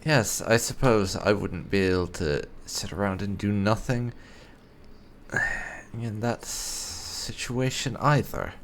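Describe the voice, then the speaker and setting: A gaming host with a creaky voice, reading role-played lines aloud and adding amused, casual asides during a playthrough. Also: low-pitched, takes it slowly, slight background noise.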